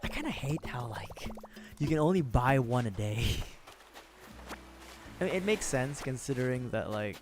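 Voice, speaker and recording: A hoarse man streaming a game, chatting casually in a rambling, joking way with frequent laughs. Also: mid-range voice, lively intonation, a little slow, pauses often. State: hoarse, sore throat. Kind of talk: casual gameplay commentary. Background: game music.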